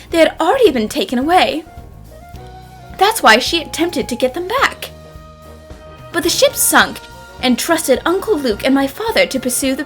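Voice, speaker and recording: A woman voicing an eager, clever young boy detective's assistant in an animated fan dub, earnest and excitable as they explain things. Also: high-pitched.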